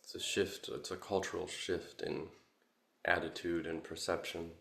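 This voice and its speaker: A man guiding a meditation as a Buddhist teacher, contemplative and halting, with long pauses between short phrases. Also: low voice, little pitch movement, gravelly, fairly bright tone. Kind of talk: guided meditation, Buddhist dharma talk. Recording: roomy, echoing sound.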